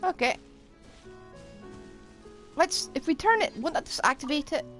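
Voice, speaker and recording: A woman's voice: high-pitched, animated, unhurried, a bit bright, soft background music. A woman doing casual live commentary as a game streamer, wryly reacting aloud as she plays.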